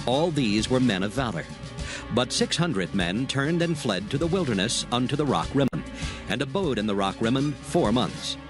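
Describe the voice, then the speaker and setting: A man reading dramatized scripture as the narrator, in a scripted storytelling style. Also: mid-range voice.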